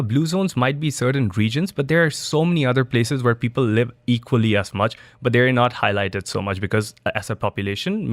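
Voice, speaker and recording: Relaxed man talking in a dry studio. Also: lowish voice, no room sound. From Dubai. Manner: loose, conversational, with restarts and fillers. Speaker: studio guest, biohacking entrepreneur. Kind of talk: casual interview answers.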